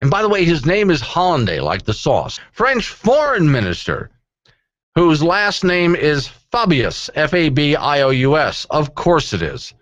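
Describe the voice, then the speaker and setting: A man hosts talk radio with a very animated, varied pitch. He chats conversationally and drops wry, joking asides. The sound is dry and slightly dull. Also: a little slow, no room sound. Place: radio studio.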